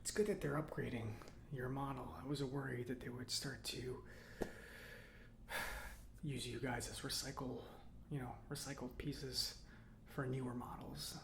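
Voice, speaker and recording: A man playing an eccentric, kindly mechanic in a soft-spoken ASMR roleplay, with halting, reassuring murmurs and a breathy voice. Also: medium pitch, little pitch movement, echoey room, background noise. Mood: musing, approving. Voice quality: breathy whispering, creaky. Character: eccentric, giddy, kindly male government robot mechanic.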